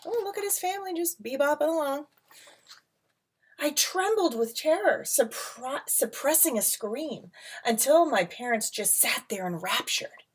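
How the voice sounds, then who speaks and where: A woman reading a picture book aloud to children as a teacher, with dramatic emphasis, drawn-out words and casual asides. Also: high-pitched, expressive. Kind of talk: expressive storybook read-aloud with casual asides. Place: at home.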